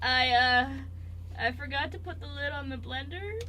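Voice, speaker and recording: A woman's voice: high-pitched, normal speed, sharp tone. A young woman playing a flustered, stubborn woman in her twenties in an amateur radio play. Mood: sheepish.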